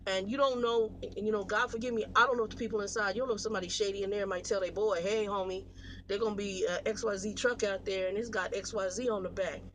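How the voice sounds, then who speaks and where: An American woman in her late forties talks casually to her viewers, rambling through stories in a blunt, animated, slangy way. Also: mid-range voice, quick pace, a little hiss or hum. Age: 40s. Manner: blunt, animated storytelling with slangy, salty language. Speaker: truck driver vlogger.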